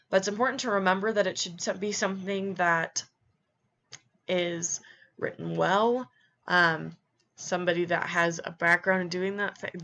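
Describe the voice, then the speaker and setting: A woman's voice: fairly low pitch, fairly bright tone. A young woman, a music education student, explaining read-aloud steps in an informal presentation with many ums and restarts. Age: young adult.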